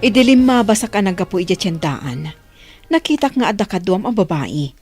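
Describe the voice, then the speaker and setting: A woman's voice: mid-range voice, big pitch swings, moderate pace, a little mellow, faint music behind, lightly acted. A woman playing an opinionated middle-aged mother questioning her grown son in a radio drama. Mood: probing, disapproving.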